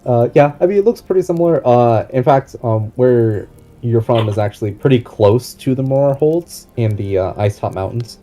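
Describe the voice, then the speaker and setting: A man casually explaining things as game master, in a halting way. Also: mid-range voice, mellow.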